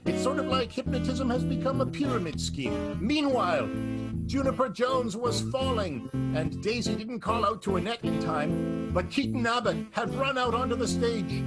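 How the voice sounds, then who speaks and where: A playful male host narrates and cracks puns in a high, lively voice. He speaks over a video call. Loud guitar music plays behind him. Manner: playful and punning. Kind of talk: jokey show wrap-up over video call.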